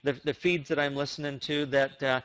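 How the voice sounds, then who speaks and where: A man hosting an informal business update for members on a conference call, speaking haltingly with repeated words and stammered restarts. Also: mid-range voice, flat delivery, fairly bright tone.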